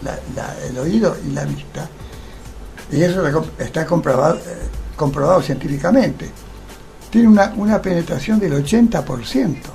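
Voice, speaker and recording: A man's voice: fairly high pitch, normal speed, gravelly, dark tone, soft background music. An older man with a Rioplatense Argentine accent, speaking emphatically as a guest in a radio interview and posing rhetorical questions. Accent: Rioplatense Argentine Spanish. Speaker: interview guest, theatre actor. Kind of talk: radio interview, opinionated conversation.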